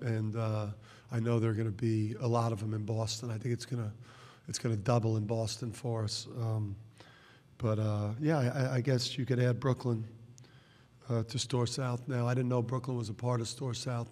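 A middle-aged man coaching basketball fields questions at a press conference table. He speaks bluntly, restarting and repeating words, in a level, unvaried pitch. The room's long reverb trails each phrase. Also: little pitch movement, moderate pace, a little mellow. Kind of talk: postgame press conference answers. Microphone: table microphone.

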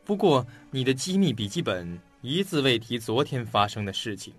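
A man's voice: mid-range voice, big pitch swings, normal speed, breathy edge. A man acting in an audio drama as an impatient, skeptical detective, with a blunt, probing, theatrical delivery. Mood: composed, probing. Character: impatient, skeptical male detective questioning a colleague. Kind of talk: Chinese audio drama acting, interrogative dialogue. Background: faint background music.

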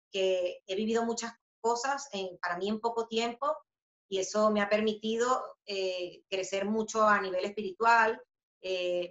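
Bright-voiced Venezuelan woman on a video call. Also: medium pitch. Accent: Venezuelan Spanish. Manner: animated, gestures a lot, many fillers and restarts. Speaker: interviewee, author and journalist. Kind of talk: casual interview answers. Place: home room with a book poster. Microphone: video call webcam. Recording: roomy, slightly dull webcam audio.

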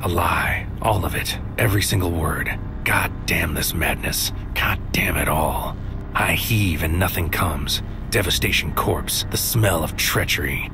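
An actor playing a tormented, brooding man with godlike power, giving a solemn, intense poetic monologue in a sci-fi audio drama. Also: sits low. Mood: bitter anger. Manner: solemn, intense, incantatory, often dropping to whispers. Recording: loud music under the voice. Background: loud music bed.

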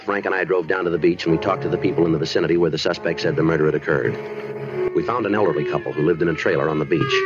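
A man plays a terse American police detective from a 1950s radio drama. The voice is very low and moves quickly, deadpan and clipped. The old recording sounds dull. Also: lightly acted. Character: terse, businesslike male police detective narrating his case.

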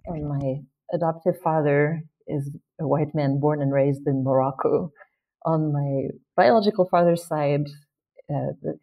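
A woman who grew up in French Polynesia, speaking as a literature professor in an interview, earnest and measured. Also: low voice, phone-quality sound. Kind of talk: interview answers.

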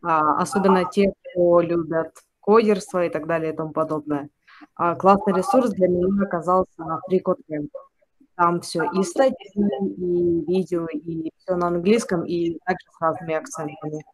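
Webinar attendee, mid-pitched voice, hesitant, filler-heavy, video call. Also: steady tone, moderate pace, no room sound, poor audio. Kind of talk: casual remark on a call.

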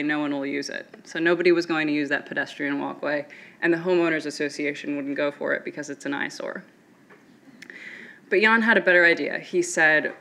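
Bright-voiced young woman telling her story. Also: deep voice. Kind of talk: personal story told on stage. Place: auditorium stage at a podium.

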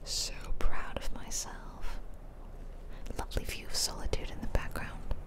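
A woman softly whispering playful, chatty ASMR commentary over a video game, close to the mic. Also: unhurried. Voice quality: soft breathy whisper. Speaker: gaming host. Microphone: close stereo mic, ear-to-ear.

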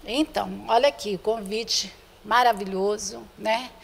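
A woman's voice: mid-range voice, fairly even intonation, measured pace, creaky, slight background noise. An older woman with a Brazilian accent, earnestly inviting the public in an interview and often repeating herself as she addresses viewers directly. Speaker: association president, interview guest. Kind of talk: spoken interview inviting the public to a charity event. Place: indoor room with bare walls. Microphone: handheld reporter's microphone held before her. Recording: reverberant room sound.

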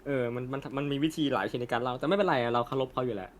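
A man's voice: medium pitch, expressive, rapid-fire. A young man talking casually and informally as co-host of an anime discussion. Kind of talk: casual post-film chat.

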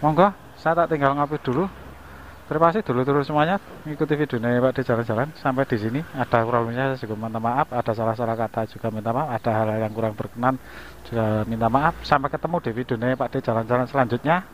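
A man's voice: mid-range voice, fairly even intonation, fast talker. A man with an East Javanese accent hosting a casual riding vlog, chatty and joking at his own expense as he rides through town to a cafe. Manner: chatty, joking, laughs at himself. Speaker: motovlogger host. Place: riding a motorbike on city streets, then a cafe. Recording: dull sound with loud traffic noise.

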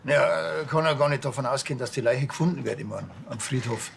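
A man's voice: medium pitch, brisk, vocal fry, slight background noise. An actor playing a grumpy, sardonic small-town policeman with a Bavarian accent and a dry delivery. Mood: matter-of-fact. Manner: dry, deadpan. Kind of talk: TV crime comedy acting.

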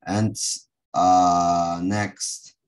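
Guy teaching english online, low voice, slow, lots of halting pauses. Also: little pitch movement, clean tone. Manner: halting, with long hesitations. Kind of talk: online group lesson, asking pupils questions. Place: online video call.

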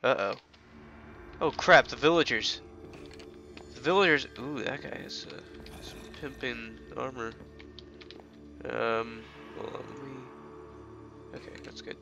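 A young man with a stuffy, congested voice chats casually through a gaming session, his pitch sitting in an ordinary middle range. He rambles on and then bursts out in sudden excitement. Music plays clearly behind him. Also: unhurried, long pauses, muffled recording. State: congested from illness. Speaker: gaming channel host. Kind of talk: casual let's play commentary. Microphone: headset.

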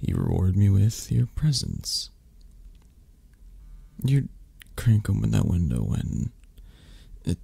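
A man playing a sick, grumbling, needy mafia boss in an ASMR romance roleplay, soft, breathy and raspy, halting and plaintive. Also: fairly low pitch, very animated, no room sound, exaggerated voice. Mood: sulky grumbling.

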